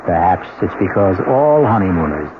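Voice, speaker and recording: An American man narrating a 1970s radio mystery drama as a knowing storyteller, with gravelly voice and deliberate, dramatic phrasing. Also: low voice, sing-song. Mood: measured intrigue. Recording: noisy, tinny 1970s radio recording with hum.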